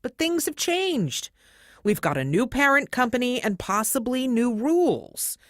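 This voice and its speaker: A woman's voice: fairly high pitch, big pitch swings, a little slow, dry recording, clean studio sound. A woman acting in an audio comedy drama as a prickly, stern chief executive, clipped and authoritative. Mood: guarded, wry.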